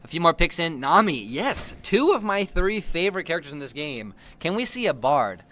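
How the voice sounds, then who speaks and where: A man's voice: fairly high pitch, very animated, normal speed, bright, forceful tone. A young man casting a video game tournament in a playful, joking way, hyping up the chat. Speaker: esports caster. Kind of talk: casual play-by-play commentary. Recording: faint background noise.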